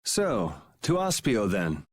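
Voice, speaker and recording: Cool, blunt young game hero. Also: male voice, lowish voice, huge pitch swings, slow pace, breathy edge, dry recording, heavily acted. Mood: wry, resolute. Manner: casual, wry, plain-spoken. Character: cool, blunt, self-assured young male adventurer. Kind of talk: video game cutscene acting.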